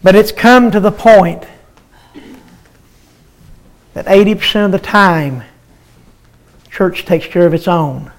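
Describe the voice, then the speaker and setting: An older preacher with a very high voice chants his sermon in a slow, sing-song rhythm. He halts often with filler sounds and calls out to his listeners by name between drawn-out cries. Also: rough recording. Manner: halting, many filler sounds between phrases. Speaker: pastor.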